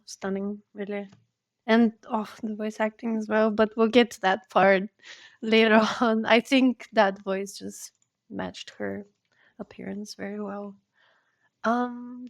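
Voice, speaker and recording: A woman's voice: medium pitch, fairly even intonation, unhurried, gravelly, no room sound, studio quality. A woman hosting a podcast, interviewing in a conversational, informal and friendly way. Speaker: podcast host.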